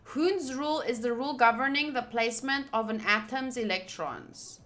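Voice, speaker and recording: Female visual novel streamer, bright and higher-pitched, excitable, casual, thinking aloud as she plays and reads. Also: moderate pace. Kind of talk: casual playthrough commentary mixed with reading game text aloud.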